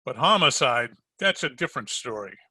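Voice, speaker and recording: Man playing a bright, sardonic radio private eye. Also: medium pitch, big pitch swings, normal speed, no echo, exaggerated voice. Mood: pressing, sardonic. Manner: sardonic, needling, slangy. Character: wisecracking, sardonic male private detective narrator. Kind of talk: old-time radio detective narration and acting. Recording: home recording over video call.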